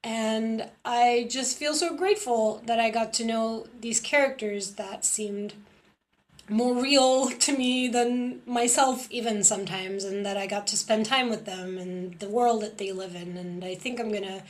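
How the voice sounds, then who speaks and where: A woman's voice: a little high, fairly even intonation, a little slow. A woman giving a heartfelt personal message as a fan. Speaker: podcast fan giving a testimonial.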